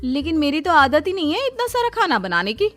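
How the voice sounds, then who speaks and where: Woman as high-pitched, exasperated young daughter-in-law. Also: sing-song, a little fast, fairly bright tone, soft background music. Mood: protesting. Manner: plaintive, complaining.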